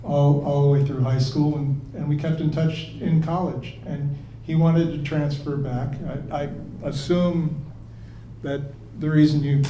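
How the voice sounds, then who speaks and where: A higher-pitched older man from New England, halting and restarting as he recalls things on a remote interview call. His voice is mellow and sounds far from the mic in an echoey room, with faint hiss behind. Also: flat delivery. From New England, United States. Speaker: interview guest. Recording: roomy, distant, faint hiss.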